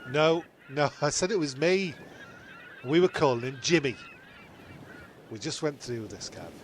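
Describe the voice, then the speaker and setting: A man's voice: a little high, animated, a little slow. A man playing scripted comedy with ad-libbed asides, exasperated, stammering and repeating himself. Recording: faint background noise.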